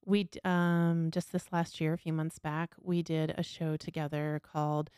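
Female podcast host, smooth lowish voice, close dry sound, earnest and candid conversational delivery. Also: level tone, moderate pace, a little mellow, no room sound. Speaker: podcast host and local storyteller.

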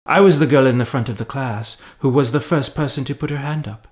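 A man's voice: mid-range voice, fairly quick, voice pushed up, natural voice. A man voicing an earnest, bookish young witch, an eager know-it-all girl, with emphatic, precise speech in a story.

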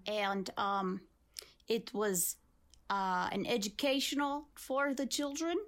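Woman doing a deadpan Russian lady guest with a put-on accent, slow and dry, mid-pitched. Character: deadpan female Russian guest with dark humor. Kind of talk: improvised comedy sketch.

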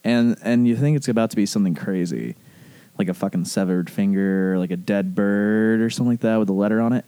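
A man from the rural American South telling a personal story casually, in animated, rambling speech full of profane slang. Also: on the low side, dry recording, poor audio. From southern United States, rural Alabama.

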